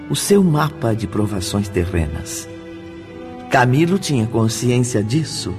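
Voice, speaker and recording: A sympathetic, grave narrator of a radio drama. The voice is mid-pitched, formal and unhurried, with prominent music behind it. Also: male voice. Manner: formal, deliberate. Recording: clear music under the voice. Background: background music.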